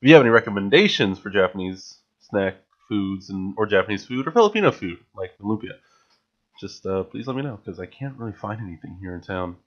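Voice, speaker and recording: A man's voice: fairly low pitch, big pitch swings, fairly quick. Chatty young man, dull lo-fi sound. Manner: chatty, enthusiastic, with frequent filler restarts. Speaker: vlogger. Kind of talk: casual grocery haul vlog. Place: small home room, indoors. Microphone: studio mic on a stand, wearing headphones.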